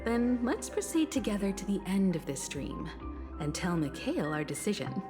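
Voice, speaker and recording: A woman's voice: mid-range voice, sing-song, normal speed, lightly acted. A woman playing a composed, thoughtful team leader in a video game. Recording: game music under the voice.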